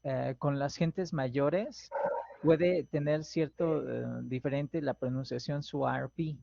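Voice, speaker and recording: Male student, slow and gravelly, unsure delivery, lo-fi call audio with background noise. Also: on the high side, steady tone, dark tone, no room sound. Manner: halting, hesitant, many restarts. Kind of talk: reading aloud in class, then answering.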